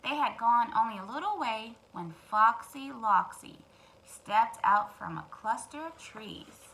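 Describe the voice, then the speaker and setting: A woman narrating a children's story in an animated way, addressing young listeners with wondering questions. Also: fairly high pitch, unhurried, poor audio. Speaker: children's storytime host and reader.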